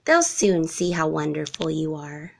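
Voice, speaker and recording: Mother elephant, read by a woman, storybook character, soothing, patient, tender, expressive intonation. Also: sits low, a little slow, vocal fry, a little hiss or hum, full character voice. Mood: reassuring. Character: gentle reassuring adult mother elephant.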